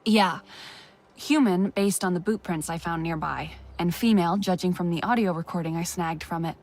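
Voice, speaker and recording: An actress playing a young Na'vi woman in a video game, a wary and curious investigator with a measured, questioning manner. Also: fairly low pitch, a little mellow. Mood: probing. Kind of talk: video game dialogue acting.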